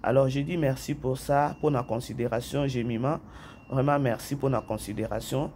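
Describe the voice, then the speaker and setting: A man's voice: mid-range voice, fairly even intonation, moderate pace, a little mellow, slight background noise. A man who has a head cold, hosting a chatty commentary vlog, repeating phrases and talking straight to his viewers. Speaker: YouTube channel host. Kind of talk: casual commentary vlog, mixing French and Lingala.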